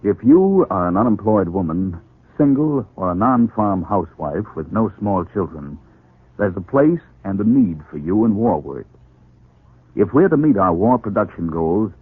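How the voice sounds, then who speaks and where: A man announcing and narrating in formal, deliberate 1940s radio style. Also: deep voice, slightly rough. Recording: tinny, echoey 1940s radio recording.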